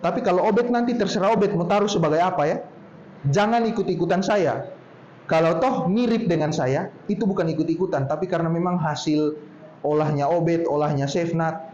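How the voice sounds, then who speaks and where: A man from Poso in Indonesia with a regional Poso accent, speaking casually to a group in a relaxed storytelling way and drawing out his words. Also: a little high, a little hiss or hum. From Poso, Central Sulawesi, Indonesia. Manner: chatty, drawn-out storytelling tone. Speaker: speaker at a talk. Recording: roomy, dull lo-fi sound with faint ambience.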